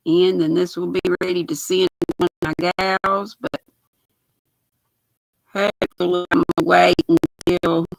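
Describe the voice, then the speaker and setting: An older woman with a raspy voice, chatting folksily with her livestream viewers while she sews. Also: on the low side, little pitch movement, unhurried, pauses often, rough recording. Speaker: knitting livestream host. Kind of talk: casual live chat while sewing.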